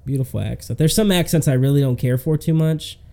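A man's voice: mid-range voice, fairly quick, vocal fry, mellow. A man co-hosting a podcast, chatting casually in a slangy, rambling way. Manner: slangy, rambling, says "man" and "dude" often. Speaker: podcast co-host.

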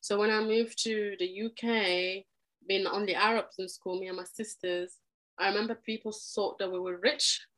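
A young woman from Egypt talks in a podcast interview. She is earnest and animated, and she often breaks off and restarts her sentences as she goes. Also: medium pitch, moderate pace, low-quality recording. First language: Arabic. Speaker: podcast guest. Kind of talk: conversational interview.

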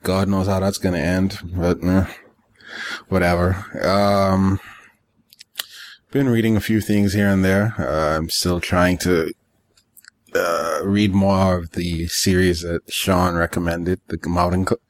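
Low-voiced man chatting offhandedly. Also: little pitch movement, slow. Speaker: podcast co-host. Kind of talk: casual chat about games and manga.

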